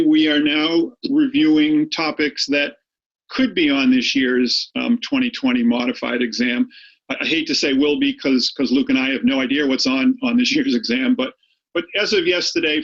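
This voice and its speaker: A man's voice: a little high, fairly bright tone, muffled recording. A middle-aged man with a Boston accent tinged by Maine, giving an online statistics review lesson in a chatty way, often repeating his words. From Boston area, United States. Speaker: high school statistics teacher.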